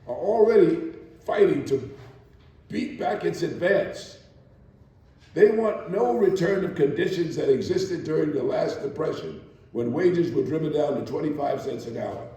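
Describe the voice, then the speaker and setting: A man giving a theatrical reading of archival material, with measured, deliberate phrasing and dramatic pauses. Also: fairly high pitch, warm tone. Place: large reverberant room at a live performance. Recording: echoey hall, distant microphone.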